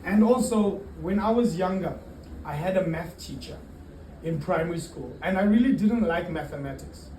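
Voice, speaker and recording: A man's voice: high-pitched, lively intonation, normal speed, darkish tone. A middle-aged man who works as a teacher, giving casual answers as an interview guest in a roomy, noisy recording from a distant mic. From Zimbabwe-born, raised in the UK and South Africa.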